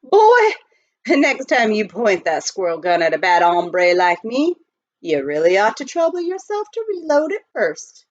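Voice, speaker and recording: A woman's voice: medium pitch, huge pitch swings, measured pace, no echo, muffled recording. A woman reading a children's storybook, voicing a big reformed outlaw with a drawling, rustic, amused frontier way of talking. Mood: wry, teasing. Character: reformed outlaw, big man, folksy and teasing. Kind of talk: children's storybook read aloud.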